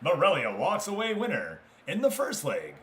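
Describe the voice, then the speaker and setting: A man's voice: a little high, huge pitch swings, normal speed, a bit bright, a little hiss or hum. An American man hosting a livestream, chatting casually with viewers and commentating on a game as he goes. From United States. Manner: chatty, hops between addressing viewers and explaining. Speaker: live streamer and host.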